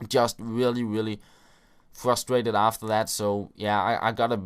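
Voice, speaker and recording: A man's voice: lowish voice, flat delivery, a little slow, smooth. A man hosting a gaming video, giving casual play-by-play commentary on his own matches with frequent filler words.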